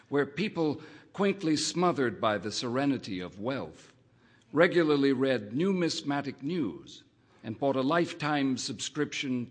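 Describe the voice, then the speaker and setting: A man reading a first-person literary short story aloud, in a measured, wry narrating manner. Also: mid-range voice, a bit bright. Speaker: audiobook reader.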